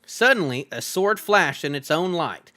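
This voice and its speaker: A man's voice: fairly high pitch, big pitch swings, a little slow, bright, forceful tone. A man reading a fantasy novel aloud as a streamer, in dramatic storybook narration.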